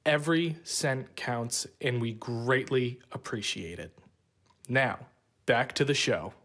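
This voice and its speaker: A man's voice: medium pitch, takes it slowly, a bit bright. A man reading a scripted appeal to listeners as the creator of a fiction podcast.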